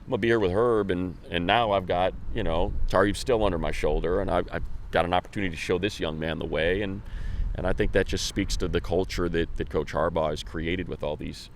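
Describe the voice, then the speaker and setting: Low-voiced American male sports talk host. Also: fairly even intonation, a little fast, slight background noise.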